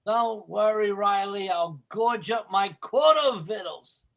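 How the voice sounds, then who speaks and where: Bright, high-voiced wisecracking neighbor. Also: male voice, a little slow, vocal fry, exaggerated voice. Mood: hearty. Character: brash, gluttonous male neighbor pal, wisecracking. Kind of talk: old-time radio comedy acting.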